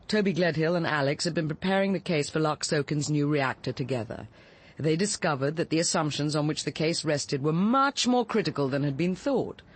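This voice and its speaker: A woman's voice: deep voice, breathy edge. An actress playing a cool, resolute middle-aged woman confessing to murder, with an English accent and an articulate, unsentimental delivery in radio drama style. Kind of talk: BBC radio drama acting.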